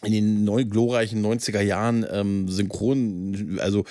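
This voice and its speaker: Low-pitched man chatting casually. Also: flat delivery, unhurried, mellow. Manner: colloquial, often tags sentences with "ne?". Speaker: podcast co-host. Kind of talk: casual chat with anecdotes.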